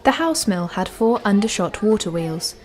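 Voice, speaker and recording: A woman's voice: mid-range voice, sing-song, normal speed, a little mellow, faint music behind. A woman giving scripted factual narration, like a guide.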